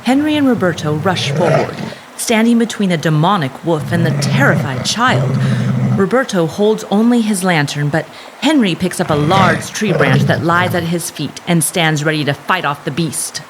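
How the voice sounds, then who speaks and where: A woman narrating a scripted dramatic story in a measured, suspenseful manner. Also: lowish voice, expressive, very noisy.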